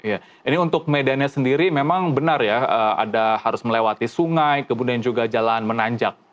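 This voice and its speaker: A man's voice: mid-range voice, brisk, muffled recording. A man conducting a broadcast interview, asking questions in a polite, formal manner as a TV news presenter.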